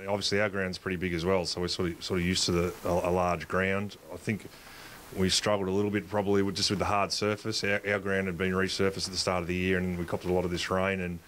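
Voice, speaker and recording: A man, a football coach answering interview questions in a halting way, with many fillers and restarts. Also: sits low, a bit bright, a little hiss or hum. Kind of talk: post-match interview.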